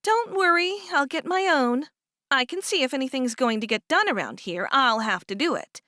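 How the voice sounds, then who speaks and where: A woman's voice: sits high, animated, moderate pace, pushed and bright, dry recording, studio quality, voice pushed up. A woman reading aloud and voicing an upset, stubborn teenage farm girl who talks in a sulky, indignant way.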